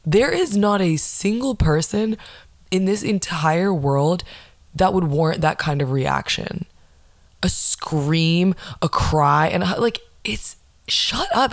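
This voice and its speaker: A young woman hosting a podcast, ranting casually with lots of swearing and sarcastic mock quotes, with a low, non-nasal voice. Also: dry recording, studio quality. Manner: sarcastic, swears a lot, acts out mocking quotes. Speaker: podcast host.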